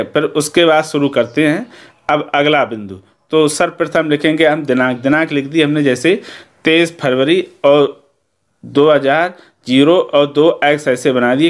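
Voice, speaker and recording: A man with an Indian accent giving an instructional lesson as a teacher, explaining methodically step by step and checking in after each point. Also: on the high side. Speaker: Hindi teacher.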